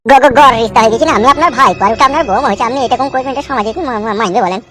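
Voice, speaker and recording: Man voicing a high-pitched, meek young villager. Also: rapid-fire, bright, forceful tone. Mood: upset, pleading. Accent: rural West Bengal Bengali. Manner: pleading, deferential, stammering when upset. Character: meek, fretful young village husband pleading with his uncle. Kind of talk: comic cartoon dialogue. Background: background music.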